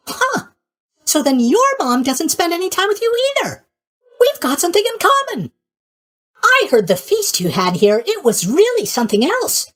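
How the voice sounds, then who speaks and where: A man's voice: very high voice, a bit bright, exaggerated voice. A man voicing a boyish, sleepy god of sleep in a video game, with a bubbly, scatterbrained, casually tactless manner. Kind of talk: animated video game voice acting.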